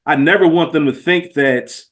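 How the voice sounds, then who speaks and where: American guy, kinda higher voice, earnest slangy podcast chat, talking things through. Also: normal speed. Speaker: podcast guest or co-host. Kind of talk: casual reflective podcast chat.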